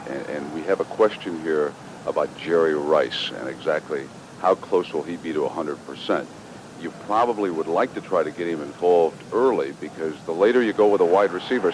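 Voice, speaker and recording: An old radio broadcast with clear rumbling noise features a male sports commentator. His voice is deep. He interviews conversationally, mixing questions with his own views on the game. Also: a little fast.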